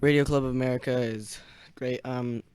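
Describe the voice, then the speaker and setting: A young man hosts a casual ham radio net. He talks haltingly and gets easily sidetracked. The sound is close and dry, with little room to it. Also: mid-range voice, steady tone, darkish tone, clear, resonant tone, no room sound. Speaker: host of an amateur radio club net.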